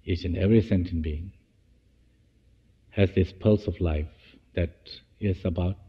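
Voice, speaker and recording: Slow, low-voiced man guiding meditation, echoey sound. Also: steady tone, slightly rough. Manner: halting, phrase-by-phrase delivery with restarts. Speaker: meditation teacher. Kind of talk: guided meditation. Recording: dull, echoey hall-like sound.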